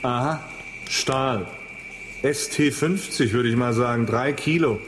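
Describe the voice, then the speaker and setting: A man's voice: mid-range voice, takes it slowly, noisy background, natural voice. An actor in a German radio play as a brash, money-minded businessman with a flippant, mocking, colloquial way of talking. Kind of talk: German radio drama acting.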